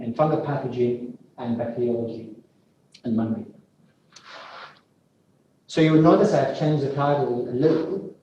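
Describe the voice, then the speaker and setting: A man's voice: mid-range voice, mellow. A man from Iraq, giving an academic seminar talk on plant pathology, eager and often repeating words. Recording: echoey room, distant mic, faint noise.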